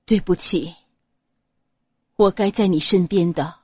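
A woman voicing a tender, protective young nobleman who speaks low and soothingly. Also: a little slow, a little mellow, breathy edge, dry recording.

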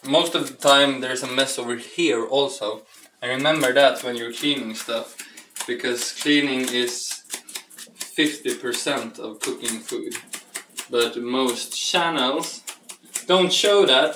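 A man's voice: mid-range voice, a little slow, bright, forceful tone, slight background noise. A young man hosting a casual home cooking vlog, talking in a rambling, playful way with stumbling restarts while he works at the sink. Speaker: cooking show host. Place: small home kitchen, indoors. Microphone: camera mic from across the room. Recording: echoey kitchen, distant from mic.

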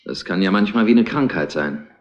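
An actor playing a persistent police investigator in a retro crime radio play, asking politely and deliberately. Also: male voice, on the low side, expressive, close to an everyday voice. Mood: measured, probing. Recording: dull lo-fi old radio play.

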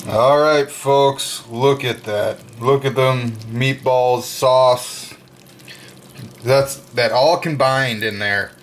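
A man talks through his home cooking at an unhurried, drawn-out pace, casual and chatty with frequent fillers. He speaks at an everyday middle pitch. He's picked up by the camera mic in a kitchen with a faint hum of noise behind him. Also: fairly even intonation. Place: home kitchen with open windows.

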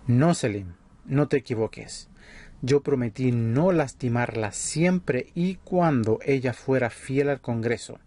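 A man playing an authoritarian vampire leader in an audio roleplay, speaking in a measured, condescending way. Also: medium pitch, animated, mellow. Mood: cold, composed. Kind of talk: ASMR audio roleplay acting.

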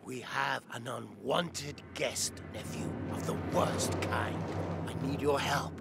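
A man playing a wise, formal old martial-arts master and uncle in an animated game, with loud music behind him. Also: medium pitch, slow pace, creaky. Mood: grave, composed. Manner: formal, mentor-like.

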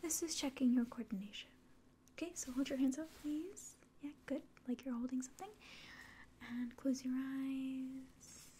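A woman in her twenties speaks in a soft, breathy whisper, high and mellow. She gently guides the listener through an ASMR exam roleplay at close range. A faint hiss of room noise sits behind her. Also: fairly even intonation. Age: 20s. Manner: gentle, slow, instructing. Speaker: ASMR performer playing an alien doctor giving an exam. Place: small room indoors.

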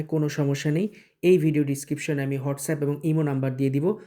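A man's voice: medium pitch, mellow. A man with a Bangladeshi accent giving an informal product demonstration to viewers. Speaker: software demonstrator and seller.

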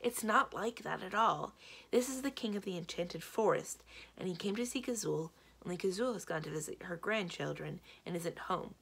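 Woman as earnest, animated young princess. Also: lowish voice, normal speed, creaky, husky, heavily acted. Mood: flustered.

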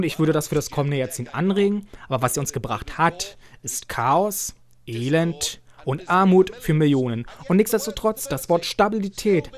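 Higher-pitched man translating a political speech. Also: a little mellow. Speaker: interpreter voicing a parliament speech in German translation.

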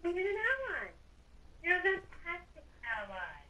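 A woman's voice: very high voice, huge pitch swings, moderate pace, sharp tone, recorded from a distance, heavily acted. A woman playing a teasing, pushy female villain in an improvised game roleplay, needling and insistent, with background noise.

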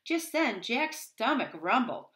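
Unhurried woman reading a children's story. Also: on the high side, sing-song, a bit bright. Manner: deliberate, dramatic emphasis. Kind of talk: expressive read-aloud for young children. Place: small wood-panelled room at home, indoors.

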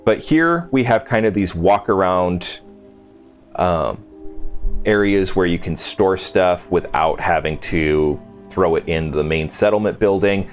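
A man with a low-pitched voice talks through a video game build as its host. His delivery is informal and unscripted, like someone thinking aloud while showing viewers around. Music plays behind the voice-over. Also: a little slow. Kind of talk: casual gameplay walkthrough commentary.

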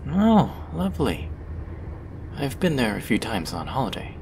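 A man playing a gentle, charming stranger on a train in a soft ASMR hypnosis roleplay, soothing and often drifting into breathy whispers. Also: huge pitch swings, measured pace. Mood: friendly, charming. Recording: loud train rumble behind the voice. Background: train ambience sound effects.

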